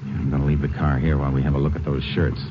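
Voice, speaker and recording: An actor playing a calm, clipped Texas Ranger in a 1950s radio drama. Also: male voice, very deep voice, very fast, noisy background. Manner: calm, clipped, matter-of-fact. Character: steady, terse male Texas Ranger. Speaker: Texas Ranger, lead and narrator. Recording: muffled old radio broadcast with music.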